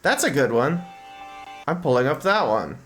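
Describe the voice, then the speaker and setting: A woman's voice: low voice, big pitch swings, normal speed, soft background music. A woman streamer chatting casually on a livestream.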